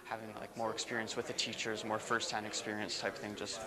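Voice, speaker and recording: A hesitant young man stumbles and repeats himself, in a fairly low and flat voice. He is picked up from a distance, with a loud crowd behind him. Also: brisk. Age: young adult. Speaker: prospective student.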